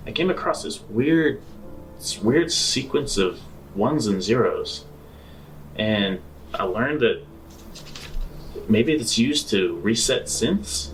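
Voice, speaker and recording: A man playing a sheepish space adventurer in improvised tabletop roleplay, speaking haltingly and repeating himself. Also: mid-range voice, lively intonation, far from the mic, a little hiss or hum, poor audio. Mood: explaining. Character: sheepish male space adventurer reporting back.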